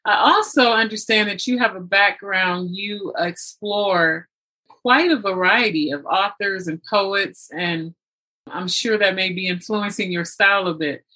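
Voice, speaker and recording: A woman with a bright, crisp-edged voice and a middle pitch hosts an interview. She speaks deliberately and politely as she leads her guest from one question to the next. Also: poor audio. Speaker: podcast host and author.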